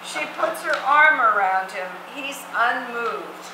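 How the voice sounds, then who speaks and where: A woman's voice: a little high, expressive, slow pace. A woman reading stage directions as the narrator of a scripted reading. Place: theatre stage, seated, distant camera mic. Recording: echoey theatre, noisy background.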